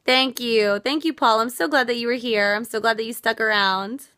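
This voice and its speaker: A woman's voice: a little high, fairly even intonation, moderate pace, sharp tone, dry recording, studio quality. A woman from the United States hosting a casual live stream, earnest and reflective as she answers viewer comments, her voice worn out. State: losing her voice. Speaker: livestream host.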